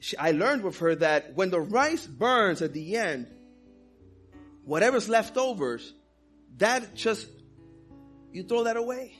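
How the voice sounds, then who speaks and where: A man's voice: high-pitched, sing-song, takes it slowly, pauses often, fairly bright tone, faint music behind. A man from the Dominican Republic preaching a sermon with fervor, weaving in personal stories. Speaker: pastor.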